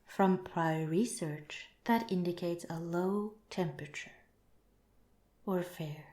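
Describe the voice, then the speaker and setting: Woman acting as clinical alien scientist, ASMR roleplay, very slow, soft and half-whispered, formal, calm, roomy echo. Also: lowish voice. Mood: clinical, curious. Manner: measured, formal, detached, scientific phrasing. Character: calm, clinical, curious alien researcher studying a captured human. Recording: roomy, echoing voice.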